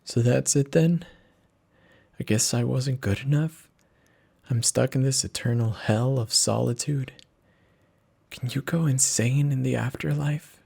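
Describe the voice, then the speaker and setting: A man's voice: mid-range voice, unhurried, vocal fry, pauses often, mellow, husky, pitched above the performer's own voice. A man voicing a despairing male student in a horror story, pleading and anguished as he questions his fate. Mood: defeated anguish. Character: despairing male student trapped in a deadly test.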